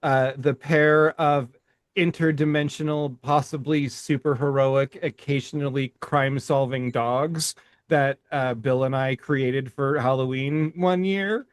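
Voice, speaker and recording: A man talking reflectively on a podcast in a halting, thoughtful way, choosing his words with care. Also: mid-range voice, steady tone, clear, resonant tone, dry recording. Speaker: podcast host and artist.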